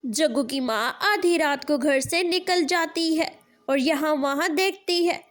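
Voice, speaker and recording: High-pitched woman narrating a children's story. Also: a little slow.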